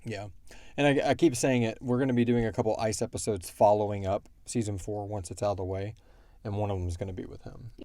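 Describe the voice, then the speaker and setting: A man hosting a casual podcast Q&A, thinking aloud in a halting way. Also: lowish voice, fairly even intonation, warm tone, no echo. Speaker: podcast host and show creator. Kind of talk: casual Q&A chat.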